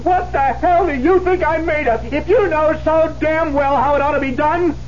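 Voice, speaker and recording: A man's voice: very high voice, normal speed. A man acting in a 1970s radio drama, playing a nervous, worn-out young piano pupil who hesitates and stammers. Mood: exasperated. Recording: dull 1970s radio broadcast, faint hum.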